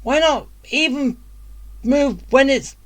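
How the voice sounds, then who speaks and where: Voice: high-pitched, slow pace. A game streamer giving casual, grumbling commentary while playing.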